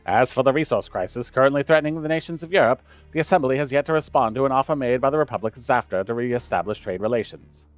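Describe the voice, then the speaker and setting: A man playing a brisk, formal newsreader delivering a fluent scripted bulletin in a story game. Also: medium pitch, no room sound, close to an everyday voice. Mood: brisk reporting. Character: brisk, formal male newsreader reporting international crisis. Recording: dull lo-fi audio with music behind.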